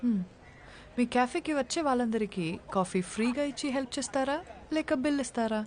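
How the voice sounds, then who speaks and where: An actress playing a sharp, blunt young woman lawyer who talks briskly and scolds freely. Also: fairly high pitch, close to an everyday voice. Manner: brisk, scolding, mixing English into Telugu. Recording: film soundtrack with clear music behind.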